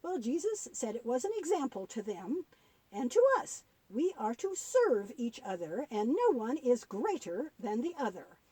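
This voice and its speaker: A kindly old granny puppet, played by a woman, tells children a Bible story in a high, animated voice. She is patient and gently instructive, pausing as she goes, and the sound is close and dry. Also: breathy edge, dry recording, heavily acted.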